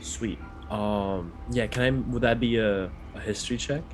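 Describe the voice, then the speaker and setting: A man's voice: fairly low pitch, steady tone, normal speed, faint music behind. A man playing a tabletop game, asking short, curious questions. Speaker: player. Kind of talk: casual play at a tabletop game.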